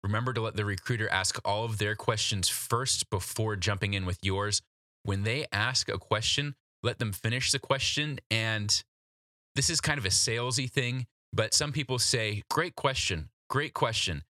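A man's voice: lowish voice, moderate pace, sharp tone, no echo, clean studio sound. A man hosting an instructional solo podcast, coaching the listener in a direct, practical, conversational way. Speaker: podcast host giving job interview advice.

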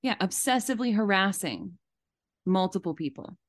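A woman's voice: mid-range voice, very animated, moderate pace, no room sound. Emphatic woman podcaster, dry close sound. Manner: emphatic, repeats phrases for stress. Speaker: podcast host. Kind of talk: casual commentary.

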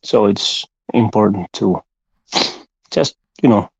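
A man in his forties, a guest on a podcast, speaking calmly and plainly, repeating words as he thinks. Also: lowish voice, slow pace, gravelly, slightly rough, no echo, highs cut off. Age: 40s. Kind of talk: casual chat.

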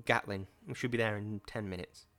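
A man voicing a weary, bitter young wife who speaks in a flat, mirthless way. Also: lowish voice, brisk, no room sound.

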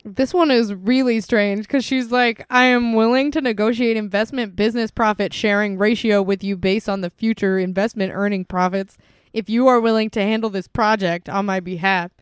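A woman's voice: mid-range voice, steady tone, normal speed, hardly any pauses, clear, resonant tone, dry recording, clean studio sound. Giggly young woman co-hosting a podcast. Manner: giggly, chatty. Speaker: podcast co-host. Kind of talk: casual chat.

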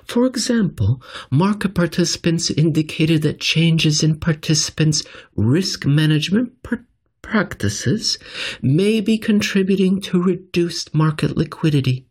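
A man's voice: fairly high pitch, animated, measured pace, darkish tone, breathy edge, voice pushed up. A man voicing a female central bank official giving a careful, formal speech in an article reading. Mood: formal, measured. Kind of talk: acted quote in an article reading.

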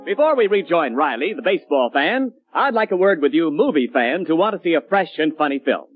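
A man's voice: fairly high pitch, very animated, fairly quick. A man working as a radio announcer, reading scripted promotional copy in 1940s broadcast style. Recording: tinny old radio recording, faint music.

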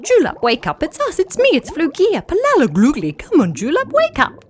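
A woman voicing a group of excitable little fish who chatter in a panic. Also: sits high, normal speed, a little hiss or hum, pitched above the performer's own voice. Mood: pleading.

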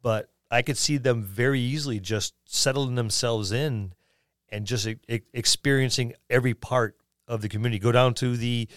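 A man's voice: mid-range voice, steady tone, moderate pace, a bit bright, no echo. Man chatting casually, dry studio sound. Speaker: podcast co-host. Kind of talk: casual discussion of tabletop roleplaying.

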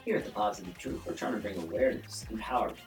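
A man co-hosts a podcast and tells a story loosely, full of ums and restarts. His voice sits on the low side. He sits some way from the mic in a roomy space, with soft music behind. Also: animated, rapid-fire. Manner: rambling, with many ums and "basically". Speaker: podcast co-host. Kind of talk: casual storytelling chat. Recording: roomy echo, distant from the mic.

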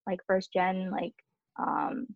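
A woman's voice: on the low side, fairly even intonation, takes it slowly, creaky, no room sound, narrow, tinny audio. A young woman talking casually on a podcast, chatty, with frequent fillers. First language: Cantonese and English. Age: young adult.

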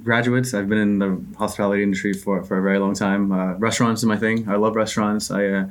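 A man's voice: on the low side, flat delivery, fast talker. A man in his twenties chatting casually as a podcast guest, often repeating words as he goes. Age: 20s. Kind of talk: casual chat.

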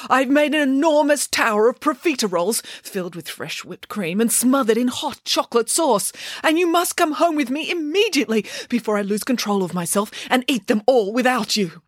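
Woman voicing storybook pig nanny, high pitch, swooping intonation, dramatic, opinionated, emphatic. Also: very animated, moderate pace, no room sound, clean studio sound. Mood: excited, urgent. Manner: emphatic, theatrical declarations.

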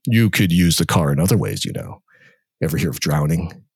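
A man voicing a sly, cold inner voice that talks slowly and calmly. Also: a bass voice, gravelly, husky, dry recording, studio quality, pitched below the performer's own voice, full character voice. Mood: coaxing. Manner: slow, calm, insinuating. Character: sly low male inner voice, coaxing and cold.

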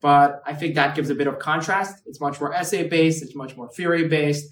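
A man's voice: fairly high pitch, normal speed, a bit bright, far from the mic, slight background noise. A man in his twenties from Hong Kong, a guest chatting casually on a podcast with lots of "like" and "right". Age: 20s. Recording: roomy echo, away from the mic.

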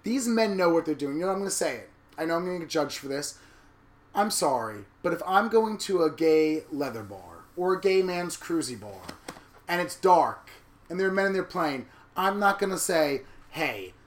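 A man in his thirties co-hosting a candid casual chat, blunt and animated. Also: on the high side, moderate pace. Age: 30s. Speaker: podcast co-host. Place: New York.

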